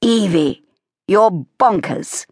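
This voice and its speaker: A woman's voice: mid-range voice, expressive, slow, husky, dry recording, clean studio sound, voice pushed up. A woman voicing a kind young army officer. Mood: gentle.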